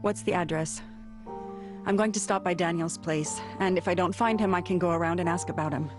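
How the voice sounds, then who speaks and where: A woman's voice: on the low side, fairly quick, music in the background, close to an everyday voice. An actress playing a caring, anxious but determined woman in conversational game cutscene dialogue. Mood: concerned.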